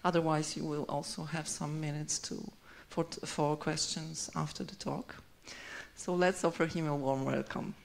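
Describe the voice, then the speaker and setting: Woman chair with a gravelly edge. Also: low voice, steady tone, moderate pace, noisy background. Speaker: session chair introducing speaker. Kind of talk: formal introduction. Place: large lecture hall. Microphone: handheld mic.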